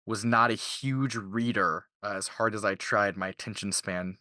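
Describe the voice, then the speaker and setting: A man's voice: lowish voice, steady tone, moderate pace, bright, forceful tone, no room sound, studio quality. A man being interviewed as a voice actor, talking casually and informally with enthusiasm.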